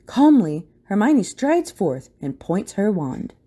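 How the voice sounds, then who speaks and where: A woman's voice: mid-range voice, big pitch swings, takes it slowly, warm tone, husky. A woman narrating a scripted audio drama in a dramatic storytelling style.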